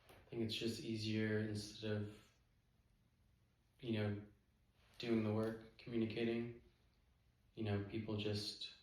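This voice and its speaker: A man chatting casually with his partner as co-host of a couple's channel, in halting phrases full of fillers. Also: fairly low pitch, darkish tone. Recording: very echoey room.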